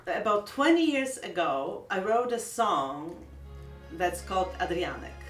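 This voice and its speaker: A middle-aged woman with a Polish accent, speaking in a gentle, earnest and reflective way in a heartfelt personal vlog. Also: mid-range voice, sing-song, unhurried, roomy sound, far from the mic, soft background music. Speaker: vlogger.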